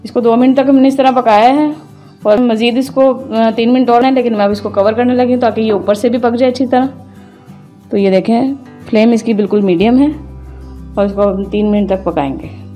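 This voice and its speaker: A woman hosting a home cooking video, calmly explaining each step as she cooks in her kitchen. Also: a little high, brisk, soft background music. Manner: calm, methodical, names each step as she works. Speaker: cooking channel host.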